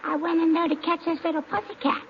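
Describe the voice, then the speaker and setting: Very high-pitched cheeky little girl. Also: flat delivery, quick pace, gravelly. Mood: cheeky innocent. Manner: childish, mangles words. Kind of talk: 1940s radio comedy acting. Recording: muffled 1940s radio broadcast, faint noise.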